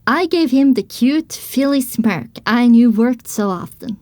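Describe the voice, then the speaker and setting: A woman reading scripted first-person story narration for an audio drama, with expressive storytelling delivery. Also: a little high, measured pace, no room sound.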